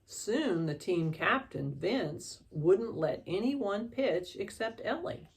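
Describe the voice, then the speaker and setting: Woman storyteller, expressive children's read-aloud, slow pace, creaky, slightly low voice.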